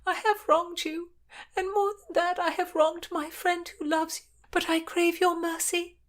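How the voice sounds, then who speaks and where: A woman's voice: level tone, measured pace. A woman voicing a desperate young woman in disguise who begs a soldier to let her sail into exile. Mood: pleading. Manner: high, beseeching, often whispered.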